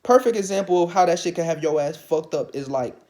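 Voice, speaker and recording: A man ranting casually to the camera, swearing often, repeating himself and rising to loud, excited outbursts. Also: a little high, brisk. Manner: calls his own voice light. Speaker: vlogger.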